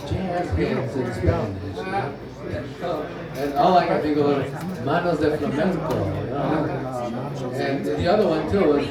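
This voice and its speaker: A man from New Jersey telling stand-up stories on stage, dry and self-deprecating, with hesitant pauses. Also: on the high side, lively intonation. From New Jersey, USA. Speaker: stand-up comedian. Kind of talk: stand-up comedy set. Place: comedy club stage. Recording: echoey club heard from a distance, loud audience laughter. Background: loud crowd laughing.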